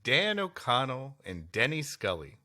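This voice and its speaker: A man's voice: mid-range voice, wildly swooping pitch, measured pace, sharp tone. A man co-hosting a podcast, reading history aloud and adding dry, playful asides. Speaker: podcast co-host.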